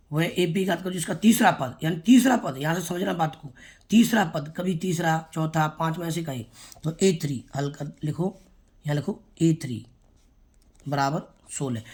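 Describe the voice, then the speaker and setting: A man teaching a school math lesson, with a sore throat he keeps clearing. He speaks straight to the children and repeats each step. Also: fairly high pitch, darkish tone. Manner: repetitive, explains step by step, addresses the children directly. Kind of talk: classroom math lesson for students.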